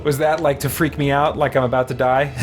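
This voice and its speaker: A man chatting casually as he streams a game, rambling and thinking aloud as he plays. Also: medium pitch. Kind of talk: casual live-stream chat and game commentary. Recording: music playing behind the voice. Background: game music.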